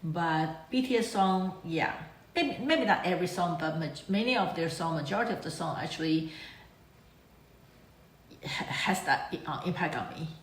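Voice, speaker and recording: Female reaction host, lower register, casual and enthusiastic fan energy. Roomy, echoey sound. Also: lively intonation, normal speed. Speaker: reaction video channel host. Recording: echoey room.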